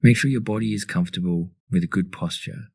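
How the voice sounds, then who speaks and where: A man leading a guided meditation in a calm, soothing, instructional manner. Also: deep voice, fast talker, gravelly, slightly rough, no echo, studio quality.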